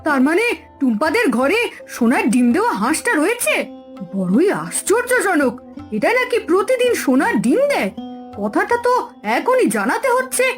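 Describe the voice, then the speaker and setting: A woman's voice: extremely high pitch, sing-song, moderate pace. A woman voicing a scheming, greedy middle-aged fishwife in a cartoon, bossy and conspiratorial, over background music. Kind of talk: cartoon voice acting.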